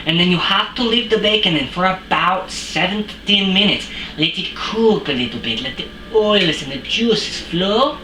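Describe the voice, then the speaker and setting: A boastful, bumbling French chef, played by a comedian rambles through a home cooking demo in a put-on French accent that keeps sliding toward Australian or British. His English is broken and peppered with crude swearing. The kitchen is roomy and echoey, and a steady noise runs behind him. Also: mid-range voice, normal speed, few pauses. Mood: cocky. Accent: put-on French, drifting to Australian or British. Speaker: cooking show host. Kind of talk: comic cooking demonstration.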